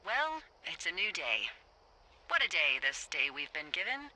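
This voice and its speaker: An actress playing a wry, breezy American fire lookout speaking over a two-way radio in a video game. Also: female voice, fairly low pitch, sing-song, a little slow, bright, forceful tone, heavily acted, rough recording. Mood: chipper. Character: wry, chipper, foul-mouthed adult woman fire lookout. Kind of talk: naturalistic video game dialogue.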